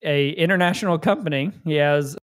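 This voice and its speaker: A man's voice: a little high, brisk, dry recording, clean studio sound. A man co-hosting a business podcast, talking in an upbeat and enthusiastic way. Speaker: podcast co-host. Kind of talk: casual podcast banter.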